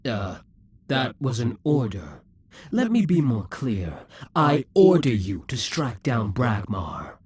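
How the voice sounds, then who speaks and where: A man voicing a possessive, scheming male demon king in a scripted audio roleplay, speaking in slow, breathy half-whispers. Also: mid-range voice, big pitch swings, darkish tone, no echo, heavily acted. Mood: frustrated, incredulous.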